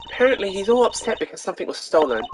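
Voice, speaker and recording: A woman's voice: medium pitch, very animated, racing, darkish tone, slight background noise, pitched above the performer's own voice, lightly acted, low-quality recording. A woman voicing a scornful, irritable young female detective from a video game. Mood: scornful, irritated.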